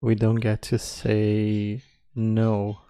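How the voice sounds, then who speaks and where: A man teaches guided meditation in a low, mellow voice. He speaks very slowly and calmly, leaving long pauses between short phrases. The sound is close and dry. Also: clear, resonant tone, no echo. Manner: calm, measured.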